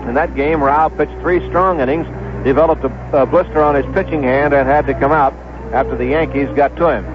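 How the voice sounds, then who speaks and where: An American man calling baseball on 1970s radio in a measured announcing manner, repeating names for clarity. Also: a little high, steady tone, over music. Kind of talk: 1970s radio sports commentary, pregame lineups. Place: stadium broadcast booth. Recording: dull lo-fi vintage radio broadcast.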